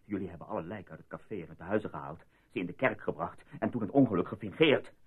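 Husky, defiant, distraught male patient. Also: sits low, lively intonation, fast talker. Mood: accusing, agitated. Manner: sarcastic, accusing, insistent. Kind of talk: radio drama acting. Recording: muffled lo-fi old radio recording.

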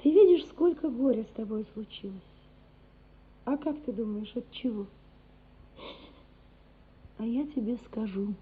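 An actress playing a loving, anguished gentry mother in a radio play, earnest, measured and emotional. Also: a little high, husky. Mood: tender, reproachful. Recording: muffled, phone-like radio play recording.

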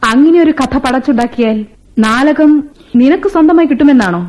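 A woman's voice: high voice, a little fast, a little mellow, a little hiss or hum, close to an everyday voice. A woman playing a defiant, scheming woman who threatens to return and claim the family house, in a radio play. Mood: taunting. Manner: taunting, threatening. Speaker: radio drama actor. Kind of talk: 1980s Indian radio play acting.

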